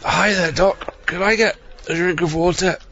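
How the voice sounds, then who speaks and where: Man doing a high, parched, pitiful sidekick. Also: fairly even intonation, normal speed, highs cut off, noisy background. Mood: pleading. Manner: plaintive, pleading.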